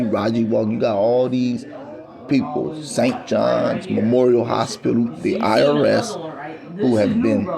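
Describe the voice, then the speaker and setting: A man's voice: fairly low pitch, gravelly. A man talking straight to camera in a rambling, insistent monologue, repeating himself for emphasis. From United States. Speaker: vlogger.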